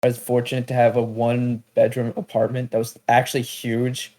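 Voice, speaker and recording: A man's voice: medium pitch, monotone, a little fast, warm tone. A young man co-hosting a podcast, telling stories in a laid-back, slangy manner. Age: young adult. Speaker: podcast co-host. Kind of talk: casual chat.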